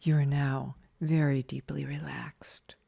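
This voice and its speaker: A woman leading a scripted guided meditation in a calm, soothing, measured way with long pauses. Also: extremely low pitch, creaky, husky.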